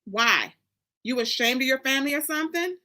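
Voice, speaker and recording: A woman's voice: sits high, animated, fairly quick, sharp tone, voice pushed up. A woman voicing an indignant, scolding mother in a dramatic book reading, with emphatic, folksy, confrontational phrasing. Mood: suspicious.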